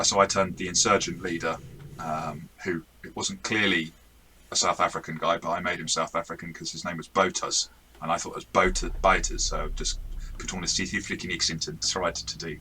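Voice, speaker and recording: A man's voice: deep voice, level tone, moderate pace, sharp tone, a little hiss or hum, poor audio. A man in casual chat, the game master reviewing an adventure he ran, talking in a chatty, self-deprecating way with frequent restarts.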